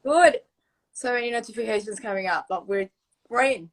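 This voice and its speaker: A woman's voice: fairly high pitch, animated, moderate pace, slight background noise. A young woman from Argentina with a mixed Argentinian accent, a native Spanish speaker, chatting as a guest on a live video call and laughing often. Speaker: guest influencer.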